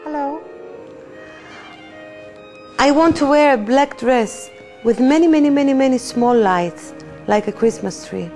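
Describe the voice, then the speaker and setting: A woman's voice: high voice, unhurried, pauses often, darkish tone, soft background music. An actress playing a whimsical woman who dreams of glamour, listing her wishes in a dreamy, wistful way.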